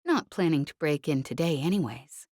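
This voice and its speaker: A woman reader plays a brash young woman pilot from a space adventure audiobook. She is cocky and sassy, clipping her colloquial phrases, in a mellow voice recorded very dry. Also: low-pitched, lively intonation, moderate pace, breathy edge, no room sound. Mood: cocky, breezy. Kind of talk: audiobook character voice.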